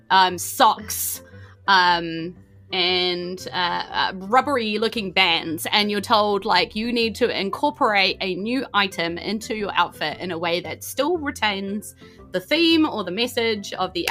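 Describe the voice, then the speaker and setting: A woman with a New Zealand accent improvising narration as a tabletop game master in a conversational, halting way. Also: mid-range voice, fairly bright tone. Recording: faint music behind the voice. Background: faint background music.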